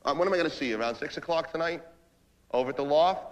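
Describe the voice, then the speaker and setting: A man's voice: a little high, rapid-fire, lightly acted. An actor playing a manipulative, foul-mouthed male office boss working the phone, badgering and repeating himself in a comic dramatic monologue. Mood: smooth, flirtatious. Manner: repetitive, badgering, swinging between ranting and smooth-talking.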